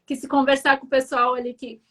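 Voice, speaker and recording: High-voiced woman explaining patiently. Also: level tone, brisk, fairly bright tone. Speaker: guest, professional organizer. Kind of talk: explanatory interview answers.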